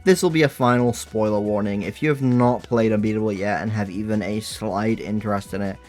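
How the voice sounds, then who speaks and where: Man, slightly low voice, earnest and enthusiastic, scripted video-essay narration, casual asides. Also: fairly even intonation, fairly quick, darkish tone, soft background music.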